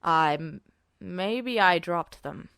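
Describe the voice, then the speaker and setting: A stiff, officious male soldier from an audio story, acted out by a woman reader. The voice drops well below the reader's own and is easily flustered. It swings in pitch and sits close and dry. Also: lively intonation, slow pace, gravelly, a bit bright, clean tone, no echo, full character voice. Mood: flustered, insistent. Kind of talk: character dialogue in fan fiction reading.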